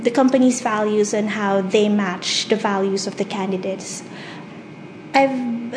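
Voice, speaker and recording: A woman in her twenties with a Filipino accent, whose first language is Tagalog. She reads a story carefully, then talks about herself in measured speech with frequent "um" fillers. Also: fairly high pitch, background noise. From Philippines. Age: 20s. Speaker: dialect archive speaker. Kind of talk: reading a passage, then casual self-description.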